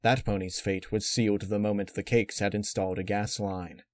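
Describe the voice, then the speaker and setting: Guy reading a grimdark story, lowish voice, measured dramatic narration, dry recording. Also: flat delivery, no room sound. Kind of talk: scripted dark fan-fiction narration.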